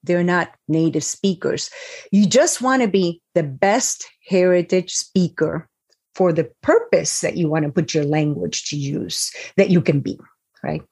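Woman, professor, panel answers, direct and emphatic, fairly low voice, video call. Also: measured pace, darkish tone, slightly rough.

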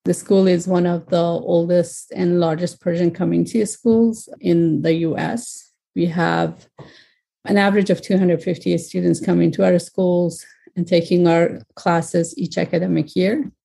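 A woman panelist giving a measured, slightly formal answer about her work in education. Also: fairly low pitch, little pitch movement, gravelly, dark tone, husky. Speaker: panelist, language school vice principal.

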